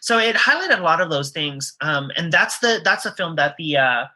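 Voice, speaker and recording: Bright-voiced woman guest, earnest and halting. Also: low-pitched, very animated. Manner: earnest, frequent um fillers and restarts. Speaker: podcast guest. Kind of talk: casual podcast interview.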